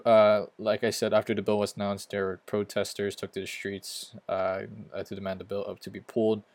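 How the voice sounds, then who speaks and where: Young male podcast host, American accent, low flat voice, halting delivery with constant restarts. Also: no room sound. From Hong Kong. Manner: hesitant, stumbling, frequent restarts. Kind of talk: casual opinion rant.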